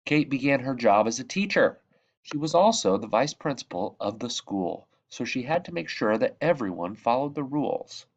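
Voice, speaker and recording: A man reading a children's picture book aloud in measured phrases that drop softly at the ends of sentences. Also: mid-range voice, very animated, muffled recording. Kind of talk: read-aloud storybook narration.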